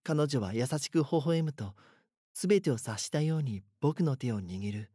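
A haunted teenage boy, voiced by a man, tells his own horror story in a radio-play style. He sounds earnest and dramatic, with a middling pitch and a close, dry sound. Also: expressive, moderate pace, mellow, slightly rough, dry recording. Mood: tense, hushed. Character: frightened schoolboy haunted by his doppelganger. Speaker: voice actor narrating a drama. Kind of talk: first-person scripted horror narration.

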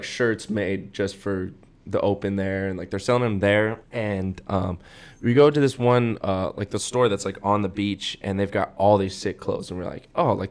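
A young adult man co-hosting a podcast, telling personal stories in a casual, rambling way and acting out the quotes. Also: on the low side. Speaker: podcast co-host. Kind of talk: casual anecdote-telling chat.

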